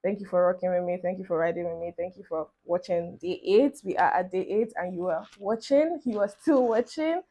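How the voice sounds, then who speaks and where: A woman's voice: on the low side, brisk, few pauses, dark tone, lo-fi sound. Chatty young Nigerian woman vlogger. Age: 20s. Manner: chatty, encouraging, talks while doing makeup. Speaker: beauty vlogger. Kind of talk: casual get-ready-with-me chat to camera. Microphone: phone camera.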